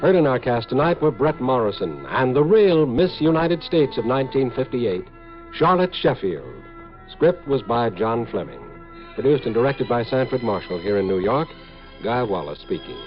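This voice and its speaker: A man's voice: medium pitch, sing-song, normal speed, soft background music. A man announcing in a scripted 1950s radio style. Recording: dull 1950s radio recording.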